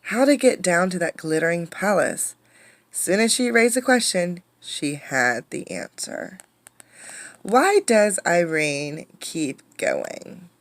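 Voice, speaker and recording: A woman reads a children's storybook aloud in a fairly low voice. Her delivery is dramatic and emphatic, like a teacher engaging listeners. The sound is dry, with very little room echo. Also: big pitch swings, slow. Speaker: teacher reading a picture book aloud. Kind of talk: expressive storybook read-aloud with questions to listeners.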